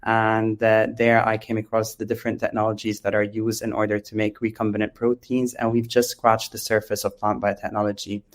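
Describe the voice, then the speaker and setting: The Lebanese-accented male founder you would hear as a guest on an industry interview, hesitant, low and level in pitch. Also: monotone. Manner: hesitant, halting, with frequent long ums. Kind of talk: explanatory interview answers.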